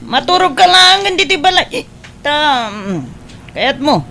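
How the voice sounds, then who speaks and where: A woman's voice: high-pitched, sing-song, unhurried, vocal fry, sharp tone, slight background noise, heavily acted. A woman with an Ilocano accent playing a teasing, hard-drinking elder sister in a radio comedy drama. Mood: coaxing.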